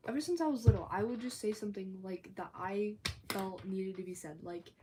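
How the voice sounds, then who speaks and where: A teenage girl chatting on her own livestream, rambling loosely with lots of "like" and now and then dropping into a soft whisper. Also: mid-range voice, fairly quick, background noise. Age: teens. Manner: rambling, chatty, filler-heavy talk with frequent "like". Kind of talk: casual livestream chat. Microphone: phone or tablet.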